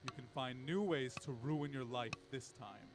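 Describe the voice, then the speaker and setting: A man playing a wry, lofty embodiment of death in a radio drama, making grand, measured pronouncements with sardonic jabs. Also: medium pitch, lightly acted. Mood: mocking. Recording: voice under prominent music.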